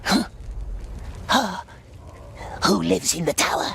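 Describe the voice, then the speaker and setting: A man's voice: sits high, lively intonation, takes it slowly, a bit bright, full character voice. A man playing a small, wretched, wary creature in a video game, speaking in short, broken, curious phrases. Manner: short questions, broken grammar, refers to self as us. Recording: clear background noise.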